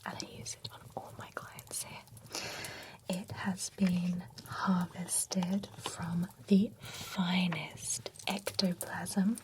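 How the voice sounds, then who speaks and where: A woman in an ASMR roleplay, whispering softly as a nosy, genteel female vampire running a spa, chatty and giggly, close to the microphone. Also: on the low side. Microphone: close sensitive ASMR microphone.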